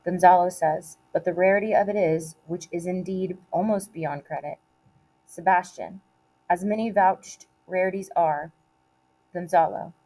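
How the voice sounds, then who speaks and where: A woman reading aloud like a teacher giving a test, in a measured, deliberate way with short, separated phrases. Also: lowish voice, dark tone, poor audio. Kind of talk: read-aloud of multiple-choice questions and quoted play lines.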